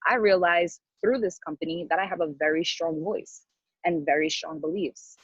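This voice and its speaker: A woman's voice: on the low side, fairly even intonation, normal speed, fairly bright tone, slightly rough, dry recording. A woman coaching a sales team in a casual, motivational style, blunt and slangy, emphatically repeating her key phrases, on a dull lo-fi recording. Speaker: sales team coach leading a training call. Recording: dull lo-fi sound.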